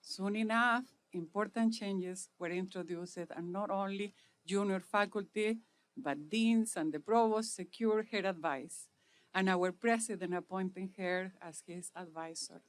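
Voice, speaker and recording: A woman's voice: medium pitch, fairly even intonation, unhurried. Chilean-accented woman giving a formal speech. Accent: Chilean Spanish. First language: Spanish. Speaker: speaker at an inauguration.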